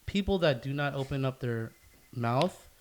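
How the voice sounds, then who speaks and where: A man's voice: mid-range voice, animated, unhurried, a little hiss or hum. A man hosting a casual podcast discussion, speaking in an earnest, motivational way with emphatic phrasing. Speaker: podcast host.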